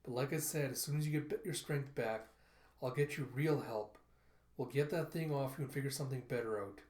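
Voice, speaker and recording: A man's voice: mid-range voice, fairly quick, darkish tone, roomy sound, lightly acted. A man playing a protective, worried man in dramatic storytelling dialogue, pleading with an old companion. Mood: tender, worried.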